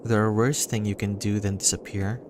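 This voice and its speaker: A man reading a visual novel as dramatic first-person narration, pausing between short phrases. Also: fairly low pitch, flat delivery, background noise. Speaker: reader voicing a visual novel. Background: music.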